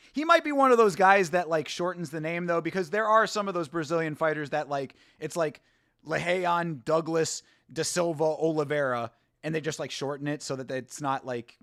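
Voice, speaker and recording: Chatty, high-voiced man hosting a watch-along. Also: sharp tone, no echo, studio quality. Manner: chatty and rambling, swears, laughs often, reads out viewer comments. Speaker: host. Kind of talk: casual live watch-along commentary.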